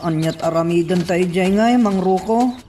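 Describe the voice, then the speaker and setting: An actor playing a naive, puzzled, trusting companion in a radio drama, asking simple questions. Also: male voice, high-pitched, moderate pace, warm tone, far from the mic, a little hiss or hum, lightly acted.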